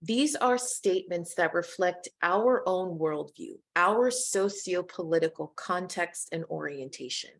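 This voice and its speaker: Middle-aged American woman, lowish voice, measured webinar presenter. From United States. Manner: measured, inclusive, addresses audience directly.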